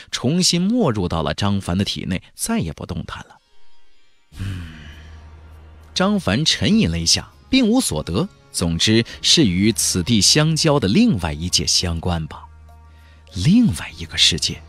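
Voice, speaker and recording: A man's voice: on the low side, a bit bright, no echo. A man narrating a fantasy audiobook in a dramatic, suspenseful, theatrical storytelling style. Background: faint background music.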